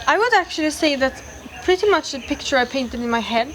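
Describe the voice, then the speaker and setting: A woman's voice: high voice, lively intonation, a little fast, a bit bright, a little hiss or hum, rough recording. A woman from Sweden, a youth worker, gives friendly and slightly hesitant answers as an interview guest.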